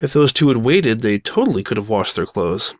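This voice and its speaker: A man's voice: fairly low pitch, big pitch swings, a little fast, no room sound. Man narrating a story, close and dry. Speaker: fan fiction narrator.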